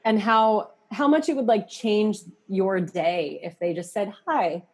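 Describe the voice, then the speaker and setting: A woman hosting a podcast in casual chat, animated and confiding, often acting out her inner thoughts as she talks. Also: mid-range voice, a little slow. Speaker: podcast host.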